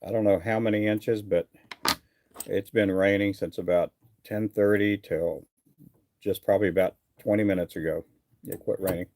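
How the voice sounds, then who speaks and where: Relaxed gravelly middle-aged man chatting. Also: on the low side, normal speed. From Texas. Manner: easygoing, rambling with many uh fillers. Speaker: fishing livestream host. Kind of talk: casual live chat reading viewer comments.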